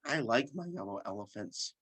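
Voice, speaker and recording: A woman playing a low-voiced, earnest young writer. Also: lively intonation, fairly bright tone, husky, heavily acted. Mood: hesitant, earnest. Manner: narrates haltingly, easily flustered. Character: earnest, exasperated kid writing a story. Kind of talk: radio play acting. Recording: noisy recording with clicks.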